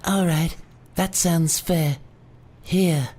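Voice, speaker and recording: A woman's voice: lowish voice, steady tone, very slow, very noisy. A woman voicing a matter-of-fact client who runs a dairy in a parody noir radio play. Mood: dry.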